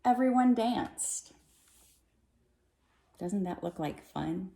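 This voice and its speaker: A woman reading a children's storybook aloud with expressive narration, recorded in an echoey room. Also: mid-range voice, takes it slowly, vocal fry, a little hiss or hum. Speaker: storybook reader.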